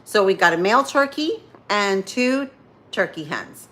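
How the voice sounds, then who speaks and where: A woman's voice: medium pitch, animated, unhurried, bright, forceful tone. Chatty woman with a Spanish-influenced accent. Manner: chatty, rambling, answers viewer comments as she goes. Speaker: livestream host. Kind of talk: casual morning livestream chat while cooking.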